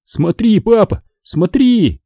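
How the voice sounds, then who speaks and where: A man reading a storybook as an excitable, indignant boy who pleads urgently. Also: high voice, normal speed, husky, no echo, clean studio sound, voice pushed up, heavily acted. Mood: excited.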